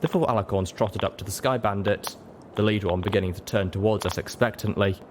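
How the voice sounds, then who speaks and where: Low-pitched man reading a dramatic story. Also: brisk, slight background noise. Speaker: narrator and reader. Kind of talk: dramatic audiobook narration.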